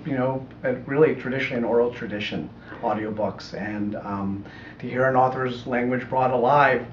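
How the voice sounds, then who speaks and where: A man's voice: medium pitch, fairly even intonation, moderate pace. Middle aged american guy answering interview questions, thoughtful, roomy echo, bit of hiss, kinda muffled. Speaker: audiobook producer being interviewed. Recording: echoey room, muffled, faint noise.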